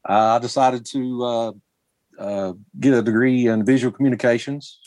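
A man in his forties from Kentucky, a musician guest talking casually with many pauses and restarts. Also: mid-range voice, steady tone, a little mellow, no room sound. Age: 40s. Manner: halting, many ums and restarts. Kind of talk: casual interview chat.

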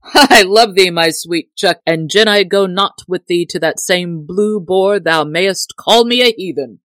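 A woman acts out a hearty, hot-tempered tinker from a storybook. He blusters in emphatic archaic phrases, his voice rising well above a calm narrating tone. The recording is dry. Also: fairly low pitch, measured pace, dry recording, voice pushed up. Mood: hearty affection.

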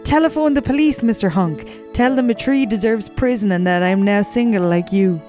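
A woman's voice: mid-range voice, moderate pace, warm tone, soft background music, lightly acted. A woman voicing a melodramatic, lovesick heroine from a festive romance, with overwrought, stilted delivery. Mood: distressed.

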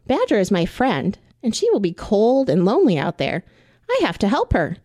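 A woman's voice: mid-range voice, wildly swooping pitch, normal speed, mellow, dry recording, studio quality. A woman voicing a stubborn but caring female porcupine in a children's storybook, exclamatory and emphatic. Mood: worried.

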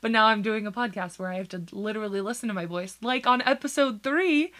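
A woman's voice: medium pitch, fast talker, a bit bright. A woman co-hosting a podcast in casual chat, giggling often and rambling between jokes. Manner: giggly, rambling, talks over her co-host. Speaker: podcast co-host.

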